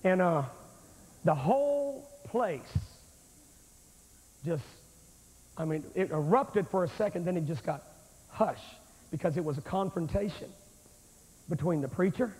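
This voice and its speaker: A man in his forties preaching a revival sermon on a large church stage with a handheld microphone, telling a story in an animated, confrontational way. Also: high-pitched, unhurried, long pauses. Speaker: evangelist preacher. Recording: long hall reverb, old VHS tape.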